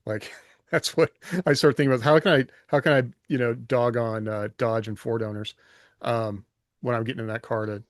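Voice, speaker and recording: A man's voice: mid-range voice, steady tone, normal speed, dry recording, clean studio sound. A man co-hosting a podcast in casual discussion, talking things through conversationally with questions and comparisons. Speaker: podcast co-host.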